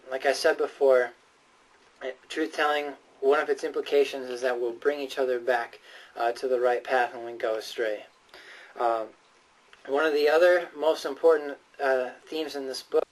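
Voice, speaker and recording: Guy doing a preachy speech, earnest, stresses points, bit halting, mic sounds kinda far away. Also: medium pitch. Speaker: speaker giving a prepared speech. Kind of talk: persuasive church-themed speech, sermon-like. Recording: voice distant from the microphone.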